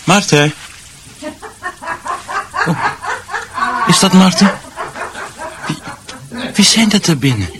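A man's voice: high-pitched, lively intonation, background noise, heavily acted. An actor in a Dutch radio drama playing a confused, deranged man who mutters, halts and repeats himself. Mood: bewildered searching. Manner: muttering, repetitive, halting. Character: deranged, confused man returning to his ransacked house.

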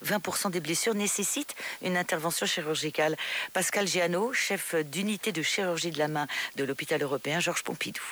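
Low-pitched woman reading radio news. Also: fairly quick, a bit bright. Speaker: news presenter. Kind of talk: radio news bulletin delivery.

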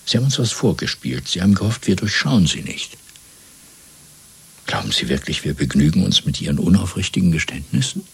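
Radio drama villain: cold state interrogator, deliberate and threatening, heavily husky low voice. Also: male voice, very animated, exaggerated voice. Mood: quiet menace. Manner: measured, deliberate, menacing. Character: cold, controlled male Party interrogator and torturer. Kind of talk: 1970s German radio drama acting.

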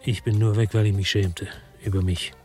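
An actor playing a disillusioned, guilt-ridden policeman who narrates a radio drama in a brooding, laconic way with a husky voice. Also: lowish voice, little pitch movement, normal speed, darkish tone, faint music behind, lightly acted. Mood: brooding regret. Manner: brooding, understated. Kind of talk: radio drama first-person narration and dialogue.

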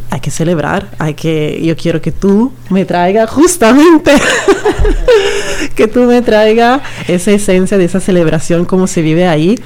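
A woman's voice: lowish voice, a little mellow, a little hiss or hum. A woman from Italy speaking Spanish with an Italian accent, telling her story casually as an interview guest. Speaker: interview guest, collective member. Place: radio studio.

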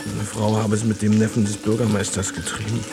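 A man's voice: on the low side, level tone, fairly quick, over music, close to an everyday voice. An actor playing a blunt, grumbling village man in a radio play. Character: gruff village man, Juan, indignant.

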